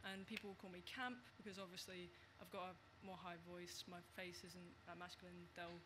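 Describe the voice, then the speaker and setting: A man's voice: high-pitched, little pitch movement, normal speed, background noise. A young man speaking earnestly as a panelist in a casual panel discussion.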